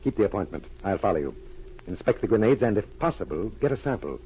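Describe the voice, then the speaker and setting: Stern spy boss, low and husky, lively intonation, terse orders, vintage radio. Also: male voice, fast, slight background noise. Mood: serious, commanding. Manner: authoritative, terse. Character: stern male spy chief giving orders. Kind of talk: 1940s radio drama acting. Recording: dull old radio recording.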